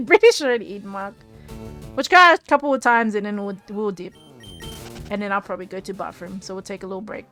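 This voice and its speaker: A woman's voice: medium pitch, fairly quick, fairly bright tone, soft background music. A woman streaming a game live, rambling and giggling with her viewers while she tires and starts losing her voice. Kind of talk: casual live-stream chat while gaming.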